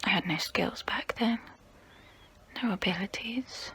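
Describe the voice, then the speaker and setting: An ancient vampire woman acted by a woman confides in a close, breathy whisper for an ASMR role play. She speaks very slowly, with deliberate pauses and a creaky, bright edge. Her manner is intimate and seductive, proud and wistful. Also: medium pitch, full character voice.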